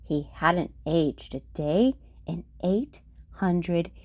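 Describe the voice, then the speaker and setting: A woman hosting a children's storytime, reading a picture book aloud with an expressive, sing-song delivery. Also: lowish voice, very slow, no room sound.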